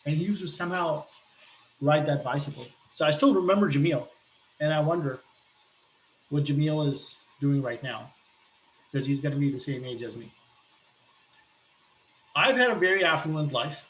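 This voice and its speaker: A man from Pakistan talking earnestly about his life, pausing often and restarting his sentences. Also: on the high side, roomy sound, rough recording. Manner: hesitant, earnest, many restarts and fillers. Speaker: entrepreneur sharing a personal appeal. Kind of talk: personal talk with shared images.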